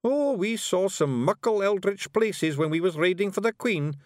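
A man voicing a tiny, brash male fairy warrior chief with a broad Scottish accent, loud and boisterous. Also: high-pitched, lively intonation, moderate pace, no room sound, clean studio sound, voice pushed up. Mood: boastful.

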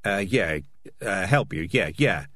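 A man's voice: on the low side, lively intonation, unhurried, fairly bright tone, a little hiss or hum. A man voicing a shifty, self-serving male cat in a storybook, hesitant and evasive with stammered excuses. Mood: flustered.

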